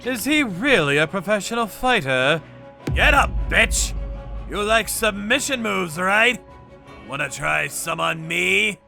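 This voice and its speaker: Ruthless, gravelly vigilante torturer. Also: male voice, sits high, expressive, takes it slowly, pushed and bright, exaggerated voice. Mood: mocking, aggressive. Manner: menacing, taunting. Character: ruthless male vigilante torturer, cold, mocking avenger. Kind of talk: dramatic first-person narration and acted fight dialogue. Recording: music behind the voice.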